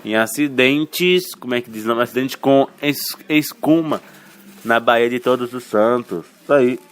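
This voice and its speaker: A man from Bahia, reading radio news headlines informally and haltingly, with self-corrections, colloquial asides and a slight cold. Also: mid-range voice. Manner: colloquial, halting, stumbles over words and self-corrects, tags remarks with questions. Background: music.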